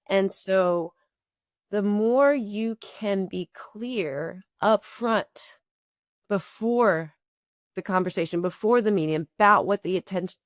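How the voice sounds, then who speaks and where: Woman, lowish voice, podcast guest, explains through examples, long flowing sentences, dry close sound. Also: no echo. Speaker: podcast guest, company co-founder. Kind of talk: conversational explaining.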